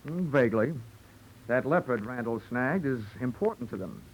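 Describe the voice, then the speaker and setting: Through old, noisy radio sound comes a male actor's tough private investigator in a medium register. He is deadpan and sarcastic, tossing off wisecracks with unruffled cool in classic 1940s drama style. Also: mid-range voice, normal speed, natural voice.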